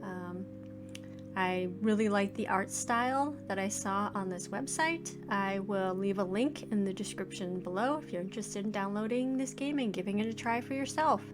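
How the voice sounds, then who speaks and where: A woman's voice: mid-range voice, normal speed. A woman in her forties giving casual first-impressions game commentary, thinking aloud in hesitant, trailing phrases. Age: 40s. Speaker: let's play host, indie game developer. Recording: music playing behind the voice. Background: video game music.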